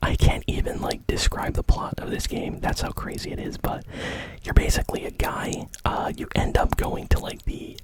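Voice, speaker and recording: An ASMR host whispering gaming news in a rambling, informal way. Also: moderate pace.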